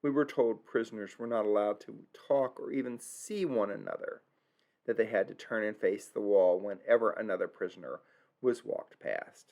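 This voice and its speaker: A man's voice: fairly low pitch, moderate pace, vocal fry, a little mellow, lo-fi sound. A man narrating his travel video in an earnest, reflective way, stumbling now and then over German place names. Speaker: travel vlogger narrating a tour.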